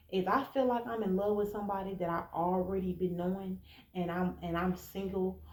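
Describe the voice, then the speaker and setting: Echoey, higher-voiced American woman talking candidly. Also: warm tone. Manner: blunt, informal, emphatic, addressing listener directly. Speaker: vlogger giving relationship advice. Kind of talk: candid casual advice monologue. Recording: echoey room, faint background noise.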